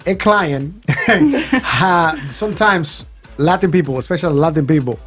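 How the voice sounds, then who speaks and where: A woman's voice: deep voice, measured pace, a bit bright, soft background music. A woman hosting a casual radio interview, a native Spanish speaker who searches for words in English. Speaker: radio show host. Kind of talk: casual bilingual on-air interview. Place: radio studio.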